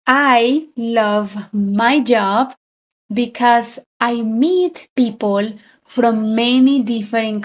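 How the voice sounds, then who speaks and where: A woman in her thirties from Colombia teaching a beginner English lesson, speaking slowly and deliberately and repeating each phrase. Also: fairly high pitch. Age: 30s. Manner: very slow, deliberate, with frequent repetition.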